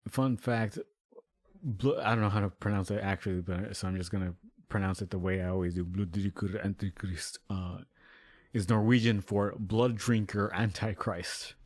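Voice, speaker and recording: A man's voice: fairly low pitch, steady tone, moderate pace, dry recording. A man giving casual commentary on his own video, rambling with many fillers and laughing easily. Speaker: musician and video maker.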